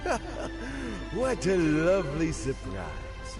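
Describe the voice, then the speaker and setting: A man playing a genial, dignified elderly official in a fantasy video game, speaking in a measured, formal way with an aged voice. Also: high voice, huge pitch swings, heavily acted. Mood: welcoming. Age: older. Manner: courtly, measured, formal wording. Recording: music playing loudly behind the dialogue. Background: loud game music.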